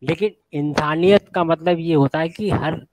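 A man with a sore throat joins a group debate over a video call. His voice sits slightly high. He argues haltingly, repeating words and restarting his sentences. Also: no room sound, rough recording.

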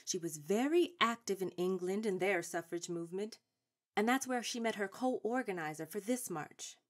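An actress as a proud, seasoned suffragette of the 1910s in a radio drama. Her voice sits at a middle pitch, and she speaks in formal, declarative sentences with shrewd authority. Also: lively intonation, normal speed, lightly acted. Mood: measured, emphatic. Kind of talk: stage-trained radio drama acting.

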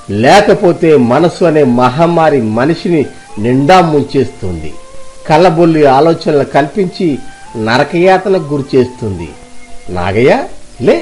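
A kindly older village uncle, acted by a man in an audio moral story. His voice sits fairly high for a male. He counsels with soothing, fatherly earnestness over faint music. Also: a little mellow. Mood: gentle concern.

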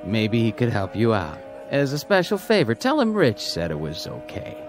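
An actor in a radio drama playing a brash, sly bartender with a slangy, casual way of talking. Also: male voice, lowish voice, big pitch swings, normal speed, music in the background. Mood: slyly helpful.

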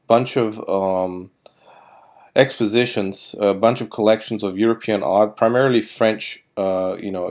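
A man giving informal voice-over commentary as a tour guide, haltingly, often repeating and correcting himself. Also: lowish voice, a little mellow.

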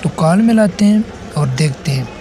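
A man's voice: high-pitched, lively intonation, normal speed, a crowd in the background. A man hosting a casual step-by-step phone repair tutorial, instructing and often checking in as he goes. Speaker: tech tutorial host.

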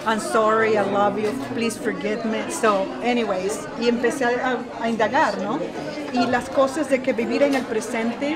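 A woman from Venezuela giving an emotional testimonial at an expo, gushing and switching between Spanish and English mid-sentence. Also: fairly high pitch. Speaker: event attendee giving a testimonial. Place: large indoor expo hall. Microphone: phone camera held close. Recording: busy expo hall crowd noise. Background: loud crowd chatter.

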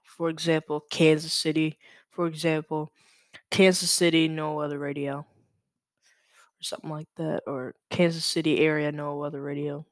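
A young man from Louisiana hosting a casual, unscripted screen-share tutorial, halting and correcting himself as he goes. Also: on the high side, flat delivery, dry recording, clean studio sound. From Louisiana, United States. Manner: hesitant, halting, self-correcting. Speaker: tutorial host. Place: indoors at a computer. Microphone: USB microphone.

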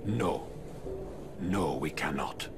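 Game mentor: wise elderly rat master, very low, gravelly, solemn fatherly delivery. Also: extremely slow, music in the background, full character voice. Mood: grave, stern. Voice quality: deep, gravelly. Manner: solemn, formal. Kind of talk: video game cutscene acting.

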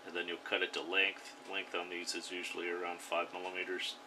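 A man hosting a radio hobby channel, calmly talking viewers through a hands-on build step by step. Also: deep voice, brisk, noisy background. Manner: plain, instructive, step-by-step. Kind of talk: DIY tutorial voice-over.

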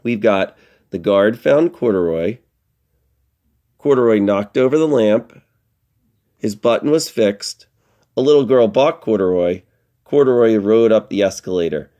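A middle-aged man giving a lesson to young children as an elementary school teacher, playful and expressive, posing questions and answering them himself. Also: on the low side, measured pace, long pauses.

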